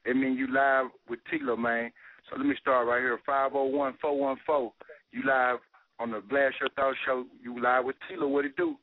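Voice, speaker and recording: A man hosts a casual call-in show in easy hip-hop slang, at a middling pitch. The sound is dry and squeezed like a phone line. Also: little pitch movement, moderate pace, no room sound. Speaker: radio show host. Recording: dry, phone-like lo-fi radio audio.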